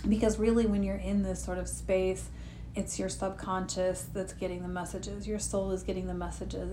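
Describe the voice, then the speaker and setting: Sniffly woman guiding meditation. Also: mid-range voice. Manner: gentle, rambling, with personal asides. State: sniffly from allergies. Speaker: meditation guide. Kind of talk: guided meditation talk. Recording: echoey room with faint noise.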